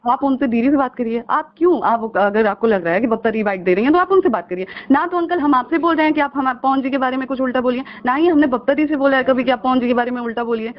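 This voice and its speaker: A woman's voice: sits high, fast talker, few pauses. An upset young woman on a phone call, arguing insistently and pleading, often repeating herself, in a heated conversation. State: upset, aggrieved. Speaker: caller. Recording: muffled lo-fi phone call recording.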